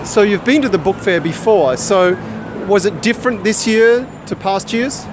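An interviewer asking calm, plain follow-up questions in a street interview. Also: mid-range voice, moderate pace. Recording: traffic noise outdoors.

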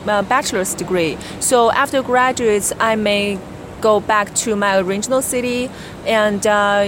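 Young woman, Chinese accent, non-native speaker, hesitant interview answers, steady mid pitch, noisy background. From China. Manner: hesitant, many fillers and restarts. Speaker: interviewee, graduate student. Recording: background noise.

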